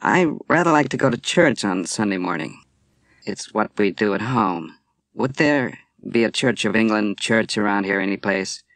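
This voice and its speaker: A man voicing a calm middle-aged naval commander, measured and patient as he explains. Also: on the low side, vocal fry, dry recording. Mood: polite.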